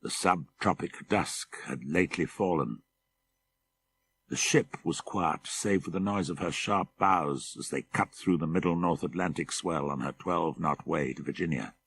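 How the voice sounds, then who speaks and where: A man reading a horror story as an audiobook narrator, measured and deliberate, with dramatic emphasis. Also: very deep voice, creaky, fairly bright tone, slight background noise.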